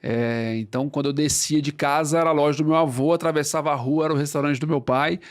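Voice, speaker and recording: A man's voice: fairly high pitch, fast talker. Young capixaba guy chatting on a podcast, loose and rambly, keeps tagging "né?". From Espírito Santo, Brazil. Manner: relaxed, rambling with fillers and "né?" tags. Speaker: guest, franchise founder. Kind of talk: casual interview storytelling.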